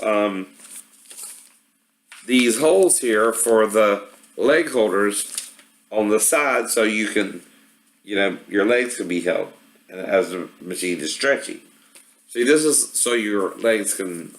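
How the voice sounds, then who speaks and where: A man giving a casual, rambling product walkthrough, often tagging his statements with a questioning "right?". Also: on the low side. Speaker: vlogger showing product assembly problems. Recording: roomy, echoey home-video sound.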